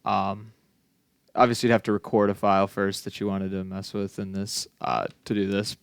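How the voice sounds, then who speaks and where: Casual young man, low gravelly voice. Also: normal speed, dry recording, studio quality. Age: young adult. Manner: informal, offhand, tentative delivery. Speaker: student presenting a final project. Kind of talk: casual software demonstration.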